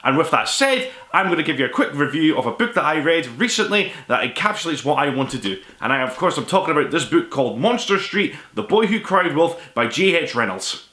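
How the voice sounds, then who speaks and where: Man, book reviewer, informal, rambling, quick pace, roomy echo. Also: on the high side, very animated, sharp tone. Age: 20s to 30s. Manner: rambling, self-deprecating, informal. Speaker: book review show host. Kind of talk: casual book review to camera. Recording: echoey room.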